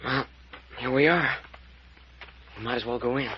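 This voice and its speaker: A man's voice: mid-range voice, normal speed, lightly acted. An actor playing an earnest, stubborn young American man investigating a mystery, in the clipped style of an old radio serial. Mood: uneasy, grumbling. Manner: earnest, insistent. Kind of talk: 1930s-40s American radio serial acting. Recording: dull, noisy old-time radio recording.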